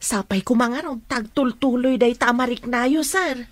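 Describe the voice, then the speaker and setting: A woman's voice: on the high side, normal speed, dry recording, natural voice. A woman acting in a radio drama as a respectful, encouraging female therapist, with an Ilocano accent. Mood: gently encouraging. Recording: music behind the voice.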